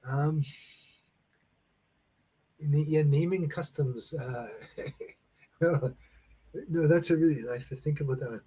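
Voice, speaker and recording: A man's voice: a little high, slow, pauses often, slightly rough, poor audio. A man from Canada in a casual online conversation lesson, telling rambling stories with many restarts over a video call. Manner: rambling, restarts and laughs, animated storytelling. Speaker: conversation partner.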